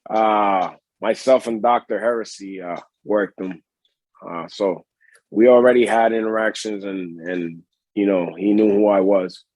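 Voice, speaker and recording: A man's voice: fairly low pitch, fairly even intonation, measured pace, darkish tone, no room sound, rough recording. Puerto Rican man, rambling interview talk. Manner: rambling, many restarts and fillers. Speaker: pro wrestler guest. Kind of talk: casual interview.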